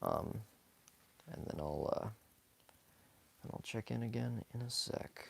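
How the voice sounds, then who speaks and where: A hoarse young man talks casually while sketching live, rambling softly with many fillers and small laughs. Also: medium pitch, expressive, slow, slight background noise. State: hoarse, scratchy from talking too much. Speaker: live-streaming sketch artist. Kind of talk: casual commentary while drawing.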